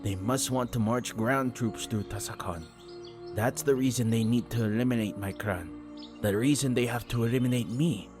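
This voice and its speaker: A man voicing a grave, brooding fantasy warrior who speaks in a serious, deliberate manner. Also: medium pitch, music in the background, pitched above the performer's own voice, close to an everyday voice. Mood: grim, reasoning.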